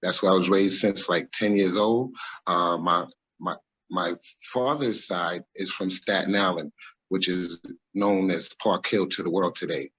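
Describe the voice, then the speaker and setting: Deep husky New man. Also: fairly even intonation, measured pace, a bit bright, poor audio. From New York, USA. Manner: rambling, reflective, frequent ums and self-corrections. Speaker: interview guest, former music manager. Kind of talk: casual storytelling interview. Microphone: video call webcam.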